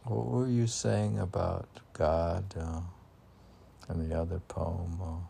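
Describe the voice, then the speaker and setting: A man's voice: deep voice, steady tone, takes it slowly, vocal fry, mellow. A man giving an informal reading of his own poetry with rambling asides, musing and questioning himself aloud as he repeats lines. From United States. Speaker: poet reading and commenting on own poems. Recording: faint background noise.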